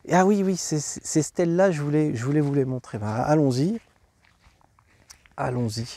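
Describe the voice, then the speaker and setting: Guy with a head cold doing a walking tour vlog outside, lots of ums and restarts, warm mellow voice. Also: on the high side, normal speed. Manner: rambling, hesitant, many fillers and restarts. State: congested from a cold. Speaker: travel vlog host. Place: outdoors at an archaeological site.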